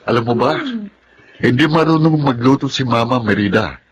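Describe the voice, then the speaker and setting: An actor playing a kindly, teasing older cousin in a Tagalog radio drama. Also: male voice, mid-range voice, normal speed, muffled recording, slight background noise, lightly acted.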